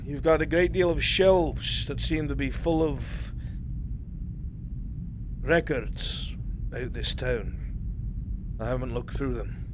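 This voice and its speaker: A man playing a practical, formal investigator in an improvised horror roleplay, speaking in measured old-fashioned phrases. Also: close to an everyday voice. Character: practical, formal male investigator newly arrived in town. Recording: clear rumbling background noise.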